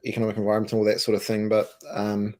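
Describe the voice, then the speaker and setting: Man from New Zealand, low and croaky, emphatic business guest who stumbles and repeats. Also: completely flat delivery, very fast, darkish tone. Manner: emphatic, repeats words, restarts. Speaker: co-founder and co-CEO, guest. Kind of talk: casual interview answers.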